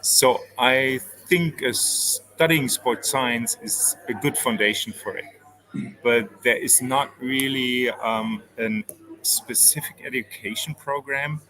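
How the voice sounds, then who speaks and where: A man's voice: medium pitch, slow pace, bright, forceful tone, low-quality recording. A man from Switzerland, a performance coach, answering interview questions in careful explanations with frequent ums. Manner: explains step by step with many fillers.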